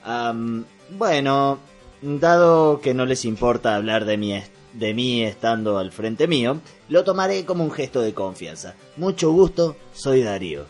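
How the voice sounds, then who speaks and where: An actor playing an awkward yet cocky newcomer to high society in a comic radio play, hesitant while trying to sound smooth. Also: male voice, expressive, unhurried, faint music behind, natural voice. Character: awkward but cocky male newcomer among aristocrats.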